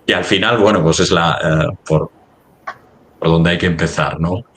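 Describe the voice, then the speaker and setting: A man from talking business conversationally, halting and rephrasing as he goes, often ending on tag questions. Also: low voice, fairly bright tone, slight background noise. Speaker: company representative in a webinar.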